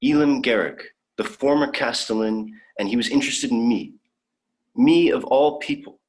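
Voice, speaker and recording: An eager, bookish young alien traveller played by a young man. His medium-pitched voice is earnest, polite and wondering, in the manner of a sci-fi audio drama. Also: moderate pace, close to an everyday voice, low-quality recording. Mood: wondering, proud. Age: young adult.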